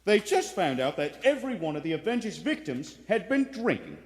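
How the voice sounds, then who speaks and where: An actor playing a cheerful, chatty husband in a live radio play, with a jovial and colloquial manner. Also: male voice, high-pitched, very animated, a little fast. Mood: curious, gossipy. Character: cheerful, chatty working-class husband of the landlady. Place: theatre stage before a live audience. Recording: echoey theatre stage, faint noise.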